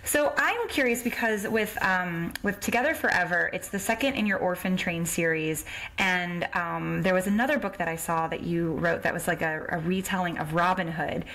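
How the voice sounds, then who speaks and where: A woman's voice: fairly low pitch, normal speed, a bit bright, poor audio. A woman with an American accent, hosting a podcast and chatting conversationally as the interviewer. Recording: clear background noise, clicks.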